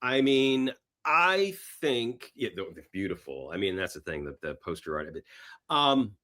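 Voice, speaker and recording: A man's voice: mid-range voice, very animated, normal speed, sharp tone. A middle-aged man talking casually about films as a podcast guest, enthusiastic and rambling, often repeating his phrases. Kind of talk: casual film chat.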